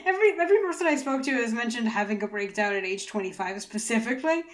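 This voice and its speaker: Female podcast co-host, mid twenties, fairly high voice, relaxed conversational chat. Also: fast talker. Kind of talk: casual chat.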